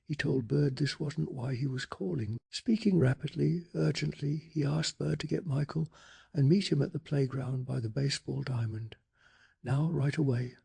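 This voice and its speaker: A man tells a bedtime story in a soft, hushed ASMR delivery. His voice sits a little high for a man and is very husky and breathy, crackling heavily with vocal fry. The telling is calming yet dramatic. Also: normal speed. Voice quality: breathy, gravelly.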